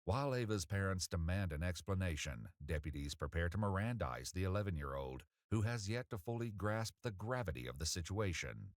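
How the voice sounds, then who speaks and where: A man's voice: sits low, expressive, a little fast, few pauses, no echo. A man reading scripted true-crime narration as a documentary narrator.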